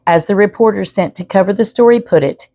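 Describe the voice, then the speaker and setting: A woman's voice: medium pitch, quick pace, warm tone, dry recording. A woman storyteller reading aloud expressively, with chatty asides to the listener. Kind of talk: expressive read-aloud narration.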